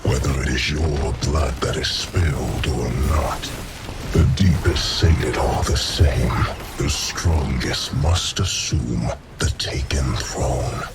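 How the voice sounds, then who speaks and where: Huge ancient alien god-king from a game, super low, slow and menacing, grand old-timey wording. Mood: imperious, menacing. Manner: grandiose, solemn, archaic speech. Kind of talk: video game villain acting. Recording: loud music under the voice.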